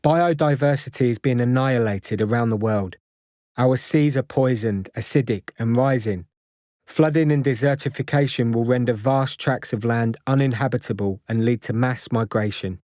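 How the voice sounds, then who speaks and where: A man reading a scripted activist declaration in a solemn, measured, formal way. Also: mid-range voice, a little mellow, no echo, clean studio sound. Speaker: activist reader.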